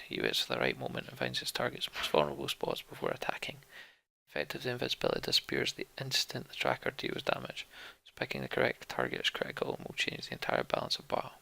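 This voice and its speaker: A man's voice: mid-range voice, gravelly, pushed and bright. A man narrating a video game, reading its story text aloud and adding casual, muttered asides. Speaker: let's play narrator.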